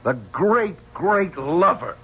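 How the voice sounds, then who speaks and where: A man's voice: high voice, sing-song, takes it slowly, slightly rough, exaggerated voice. An actor playing an anxious, defensive young man devoted to his mother in a radio drama, stammering and edgy. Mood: anguished. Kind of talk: 1970s radio drama acting. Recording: muffled old radio broadcast.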